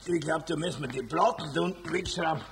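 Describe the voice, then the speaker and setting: A man's voice: fairly high pitch, quick pace, slight background noise, close to an everyday voice. A man playing a friendly, frank middle-aged electrician in a radio play, with a Bavarian accent and a chatty, direct manner. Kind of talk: 1970s children's radio play acting.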